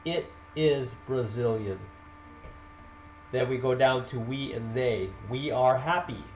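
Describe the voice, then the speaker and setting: A man teaching a basic English grammar lesson, speaking deliberately, drilling example sentences and correcting himself. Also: mid-range voice, a little mellow, soft background music. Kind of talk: slow spoken grammar lesson. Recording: roomy echo with faint ambience.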